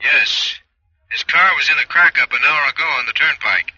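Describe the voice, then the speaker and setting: An actor playing a businesslike police sergeant over a telephone filter, brisk and official, in 1940s radio style. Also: male voice, mid-range voice, sharp tone, husky, highs cut off. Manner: brisk, official, matter-of-fact. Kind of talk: 1940s radio drama acting. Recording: thin phone filter, vintage radio.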